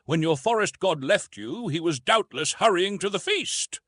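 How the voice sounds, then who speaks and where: A man acts out a tired young English gentleman in an adventure storybook. He speaks formally and earnestly, with rueful courtesy, expressive pitch and a slightly husky tone. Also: normal speed, dry recording, studio quality. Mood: bitter.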